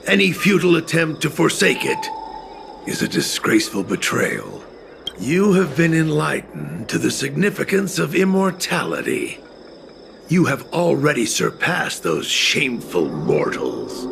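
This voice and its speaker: A fanatical martial artist who leads a cult in a video game. His voice is very gravelly and slow, with a menacing, pompous delivery. Music plays clearly behind him. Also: a little high, full character voice. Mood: fervent. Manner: pompous, deliberate, menacing zealot. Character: fanatical male cult leader, proud martial artist, former spearman. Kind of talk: dramatic video game voice acting.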